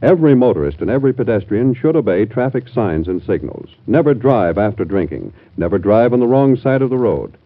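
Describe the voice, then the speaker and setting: A man making a formal announcement in vintage radio style. His low voice moves animatedly and stresses key words. The sound is thin and tinny, from an old broadcast. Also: big pitch swings, brisk, hardly any pauses. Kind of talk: 1940s radio public service announcement.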